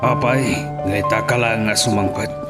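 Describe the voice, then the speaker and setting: A man's voice: fairly low pitch, steady tone, unhurried, over loud music, natural voice. A man playing a stern, commanding middle-aged mayor and father in an audio drama. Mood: stern, displeased.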